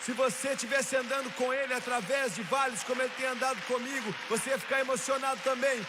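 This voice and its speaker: A man preaching a fervent revivalist sermon, shouting exultantly with emphatic repetition. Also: very high-pitched, steady tone, fast talker. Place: large reverberant hall with congregation. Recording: echoey hall, noisy crowd behind.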